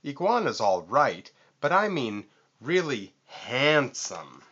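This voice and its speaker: A man voicing a vain, boastful storybook vulture with a squawky, screechy voice and theatrical, drawn-out emphasis. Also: mid-range voice, sing-song, fairly bright tone, dull audio, slight background noise, voice pushed up. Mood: boastful, smug.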